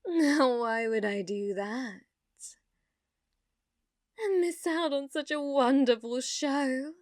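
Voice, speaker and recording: A woman playing a sadistic, haughty young noblewoman villainess in an ASMR roleplay, taunting theatrically and often dropping into breathy whispers. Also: high voice, lively intonation, slow, a little mellow, no echo, studio quality. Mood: gloating, mocking.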